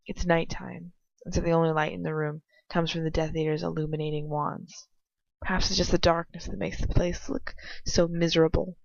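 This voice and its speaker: A woman reads fan fiction aloud in the first person as an amateur narrator, halting now and then to reread a phrase. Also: lowish voice, gravelly, no room sound. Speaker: amateur audiobook reader. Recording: dull lo-fi home recording.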